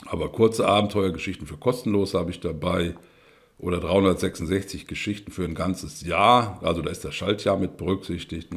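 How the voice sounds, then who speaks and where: A man talking through a spreadsheet in a screen-recorded tutorial, explaining step by step with frequent fillers and tag questions. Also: low-pitched. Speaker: instructor.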